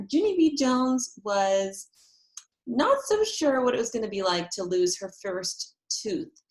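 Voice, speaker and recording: A woman's voice: on the high side, a bit bright, low-quality recording. A woman teacher reading aloud to young children, explaining things in simple phrases. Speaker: teacher reading a chapter book aloud to pupils. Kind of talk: read-aloud storytelling for children.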